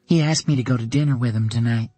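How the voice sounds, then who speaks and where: A man's voice: medium pitch, fast, mellow, no echo, soft background music, voice pushed up, natural voice. A man voicing an uneasy, guarded woman who answers tersely and defensively. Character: anxious, guarded adult woman, flustered by romance.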